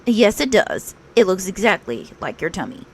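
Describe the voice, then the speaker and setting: Woman putting on a brisk, practical storybook rabbit. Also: medium pitch, very animated, a little hiss or hum. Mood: amused. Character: industrious, practical male rabbit, bossy but friendly. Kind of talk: children's storybook character voice.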